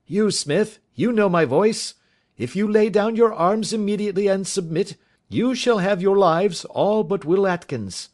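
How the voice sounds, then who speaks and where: A man's voice: high-pitched, measured pace, darkish tone, pitched above the performer's own voice. A man voicing a stern ship's captain who commands mutineers to surrender in a storybook reading. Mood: commanding.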